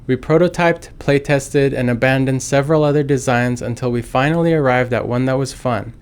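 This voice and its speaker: A man delivers prepared developer commentary in a middle-pitched voice. It is clean and smooth, and he speaks as a game developer explaining design work. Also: fairly quick.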